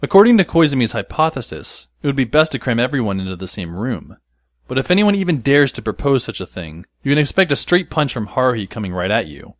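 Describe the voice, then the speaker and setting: A man playing a wry, resigned teenage schoolboy who narrates an audio drama in a deadpan manner. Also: mid-range voice, quick pace, dry recording, clean studio sound, lightly acted. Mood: wry, measured. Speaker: narrator and lead character. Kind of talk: first-person scripted audio drama narration. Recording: music behind the voice. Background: instrumental music.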